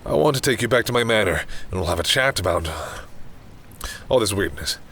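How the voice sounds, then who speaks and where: A very low-voiced male vampire, acted by a man in a dramatic audio roleplay, speaks with haughty, deliberate command that often sinks into hushed brooding. He is menacing yet reluctantly caring toward mere humans, and there is clear noise behind him. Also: expressive. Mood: negotiating, apologetic.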